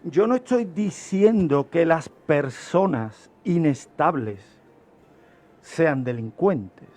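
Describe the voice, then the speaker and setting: Slow, higher-pitched man explaining on radio. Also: expressive, a little mellow. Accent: Spain. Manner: didactic, emphatic repetition. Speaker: guest criminologist explaining. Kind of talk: informal radio lecture.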